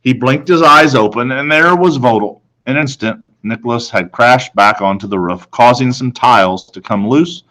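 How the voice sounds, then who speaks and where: A man reading a children's novel aloud expressively, with dramatic, deliberate phrasing. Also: mid-range voice, a bit bright, poor audio. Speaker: story reader, teacher.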